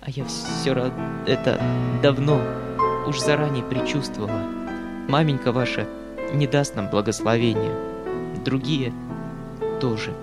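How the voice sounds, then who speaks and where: A man narrating an audiobook voices a young governess who speaks softly and with resignation, close to tears. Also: mid-range voice, measured pace, pauses often, over loud music, close to an everyday voice. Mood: tearful. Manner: soft, subdued, resigned.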